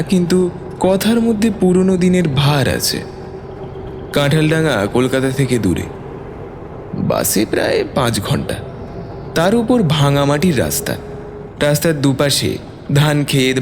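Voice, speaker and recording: A man's voice: a little high, sing-song, measured pace, pauses often, noisy background. A man reading a scripted story as dramatic narration. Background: soft background music.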